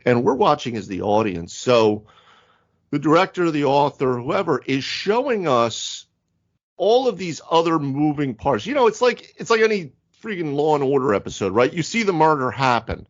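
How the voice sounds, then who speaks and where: Male podcast host, mid pitch, lively swooping intonation, rambling casual chat, slightly dull audio. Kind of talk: casual panel chat about tabletop games.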